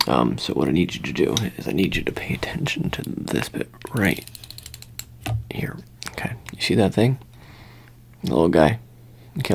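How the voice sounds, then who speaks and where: A softly whispering ASMR performer of unclear sex rambles haltingly and casually in a roleplay as a laid-back handler, slipping between breathy whisper and low murmur. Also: a little hiss or hum. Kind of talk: ASMR roleplay, soft improvised monologue.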